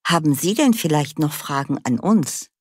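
A formal, courteous female interviewer with a low voice, read by a woman. Heard dry and close, with no room sound. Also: animated, moderate pace, breathy edge, no room sound. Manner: formal, polite. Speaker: interviewer at a language school. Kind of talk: scripted learner dialogue.

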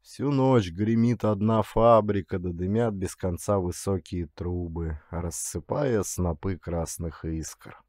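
Slow, low-voiced male audiobook narrator. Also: dry recording, studio quality. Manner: suspenseful, expressive storytelling. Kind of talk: dramatic literary narration of a story.

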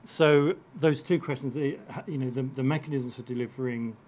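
A middle-aged man moderating a panel discussion, haltingly, often repeating his opening words. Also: medium pitch, a little mellow, slight background noise. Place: conference room, indoors.

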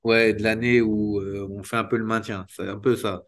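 A man in his thirties, a former footballer, talking candidly in an interview over a video call, restarting sentences and filling pauses often. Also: on the low side, flat delivery. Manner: spontaneous, halting, many restarts and fillers. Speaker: retired footballer guest. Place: small room indoors. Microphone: video call webcam.

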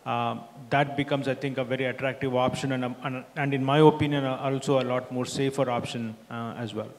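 A man's voice: mid-range voice, fairly even intonation. Middle-aged man investor in a reverberant hall. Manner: measured, explanatory, many fillers. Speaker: investor panelist. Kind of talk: panel discussion answer. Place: large conference hall stage. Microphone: handheld mic.

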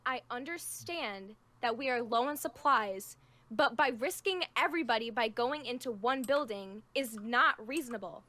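Woman acting an anxious leader, high bright voice. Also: lively intonation, unhurried, no echo. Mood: defensive. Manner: exasperated, hesitant but firm. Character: anxious, protective female leader of zombie survivors. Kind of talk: improvised roleplay acting.

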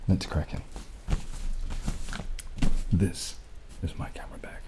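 A young man speaking softly and unhurriedly in casual ASMR style, partly whispering, with some hesitation. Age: young adult. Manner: soft-spoken, hesitant, unhurried. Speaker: ASMR video creator. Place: small dim indoor room. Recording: clearly audible background noise, added rain.

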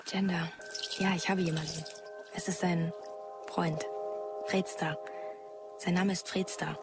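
A woman's voice: fairly low pitch, flat delivery, slow pace, over loud music. An actress playing a casual, slightly hesitant young woman in a sci-fi audio drama. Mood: matter-of-fact. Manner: casual, conversational.

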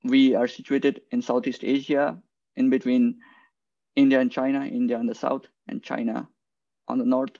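Young man from Nepal, video call slide talk, gravelly voice, hesitant with repeated words, muffled lo-fi audio. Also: medium pitch, little pitch movement, normal speed, dark tone. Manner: hesitant, repeats words often, adds "right?" as a tag. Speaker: guest speaker, quantum engineer. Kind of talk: presenting slides in an online talk. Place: indoor room, video call. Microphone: computer mic on a video call.

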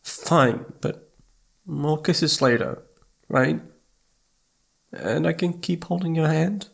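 A man's voice: fairly high pitch, slow pace, gravelly, slightly rough, heavily acted. A man playing a needy, playful young boyfriend in intimate ASMR roleplay, pouty and sweet, stammering when flustered. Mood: needy, teasing.

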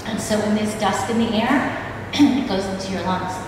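A middle-aged woman leading a church women's gathering. She is chatty and keeps clearing her throat because she is losing her voice. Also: mid-range voice, fairly even intonation, moderate pace. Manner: warm, chatty, easily sidetracked, frequent throat clearing. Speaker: dance minister. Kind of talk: informal ministry talk to a women's gathering. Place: large wood-panelled hall or church, on a stage. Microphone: handheld mic, filmed from a distant camera. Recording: roomy hall echo, distant mic, noisy.

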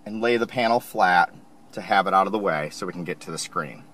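A calm, lowish male voice walks through a car installation one step at a time. He talks plainly and evenly. A faint hum of vehicle ambience sits behind him. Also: brisk. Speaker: installation instructor. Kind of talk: step-by-step how-to narration. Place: inside a car.

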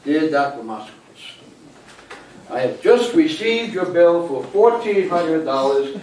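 A man's voice: on the high side, big pitch swings, unhurried, darkish tone. An elderly actor playing a teasing, wisecracking grandfather in a live comic stage play. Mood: wry. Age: 70s. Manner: wisecracking storyteller. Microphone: distant camera. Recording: echoey small theater, distant camera, hiss.

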